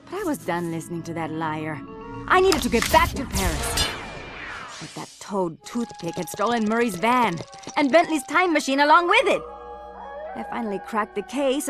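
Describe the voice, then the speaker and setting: A woman's voice: mid-range voice, fairly bright tone. An actress playing a tough, sarcastic female police inspector who narrates a video game cutscene in an indignant, scornful and emphatic way. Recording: background music under the voice.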